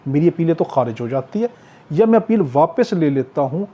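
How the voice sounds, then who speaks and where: Pakistani man, law tutor, higher-pitched voice, dark tone, question-and-answer lecturing, repeats key points. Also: sing-song, normal speed. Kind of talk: exam-prep lecture, explaining statutes point by point. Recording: dull, lo-fi, muffled sound.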